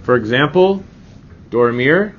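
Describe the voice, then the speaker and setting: A man's voice: mid-range voice, expressive, slow. A man teaching a grammar lesson in an informal, reassuring classroom manner, often checking in with short rhetorical questions. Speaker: Spanish teacher. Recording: dull lo-fi audio, clear background noise.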